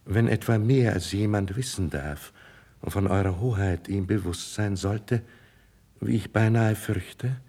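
An actor playing a grave, loyal older count, deliberate and deferential, in a formal 1950s radio drama style. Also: male voice, on the low side, dark tone, slightly rough. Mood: confiding, concerned. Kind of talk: classical German radio drama acting, 1950s.

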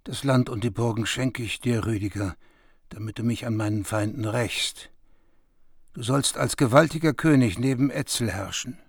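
Knarrend-heiserer mächtiger König. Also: male voice, medium pitch, normal speed, vocal fry, slightly rough, no room sound, studio quality. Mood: commanding plea. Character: powerful king bargaining with vassal for revenge. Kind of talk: German radio play of a medieval epic.

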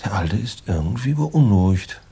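An actor playing a boastful, tough former heavyweight boxer in a radio crime drama, rambling in slangy, gravelly talk with swagger. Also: male voice, on the low side, animated, moderate pace, dark tone, full character voice. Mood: confiding. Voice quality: gravelly, husky.

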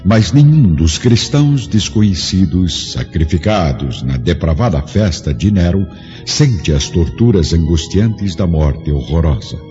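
A man's voice: low voice, expressive, a little slow, warm tone. A man narrating a radio play in a solemn, dramatic way. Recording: dull audio with long reverb and music.

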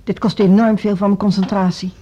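An actress playing an imperious, defensive music school director in a Dutch radio drama, speaking in a brisk, formal, dismissive way. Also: female voice, medium pitch, little pitch movement, mellow, slightly rough, muffled recording, close to an everyday voice. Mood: weary, irritable.

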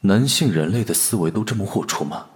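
A man playing a cool, fastidious alien parasite posing as a human in an audio drama, detached and disdainful. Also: fairly low pitch, animated, lightly acted. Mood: detached, annoyed. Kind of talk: audio drama dialogue and inner monologue.

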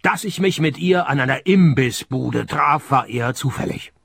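A man's voice: medium pitch, normal speed, creaky, husky, dry recording. An actor playing a sarcastic male private detective in a radio play. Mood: wry. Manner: dry, deadpan, sarcastic. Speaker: lead actor and narrator.

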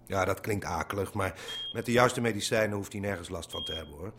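A man playing a calm, reassuring hospital doctor in a radio drama. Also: low-pitched, fairly quick, noisy background, lightly acted.

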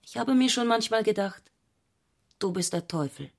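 A woman's voice: mid-range voice, expressive, normal speed, gravelly. An actress playing an intense, provocative young woman in an intimate, fervent literary radio drama. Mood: intimate, provoking.

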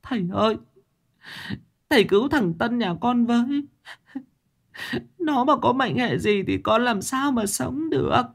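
A man's voice: extremely high pitch, lively intonation, measured pace, vocal fry, pauses often, voice pushed up, exaggerated voice. A man voicing a distraught middle-aged village mother who sobs and pleads. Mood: tearful. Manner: wailing, sobbing pleas.

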